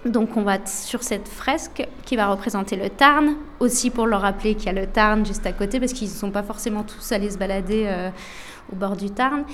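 Young woman, mid voice, halting interview answers, lots of "euh"s. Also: medium pitch, a little hiss or hum. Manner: hesitant, many fillers and restarts. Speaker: illustrator and author of books. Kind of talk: radio interview, spontaneous answers.

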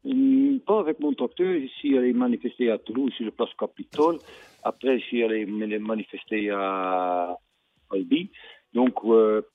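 An older man farmer from the Netherlands with a Dutch accent, speaking plainly and emphatically in a radio interview, pausing often with fillers. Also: fairly low pitch, animated, no room sound. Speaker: fruit farmer. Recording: tinny phone-like radio line.

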